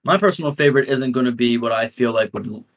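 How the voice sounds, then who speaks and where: A man's voice: medium pitch, expressive, quick pace. Guy co-hosting a podcast, just chatting casually, trails off and restarts, kinda rambly. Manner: rambling, hesitant, lots of restarts. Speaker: podcast co-host. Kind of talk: casual chat.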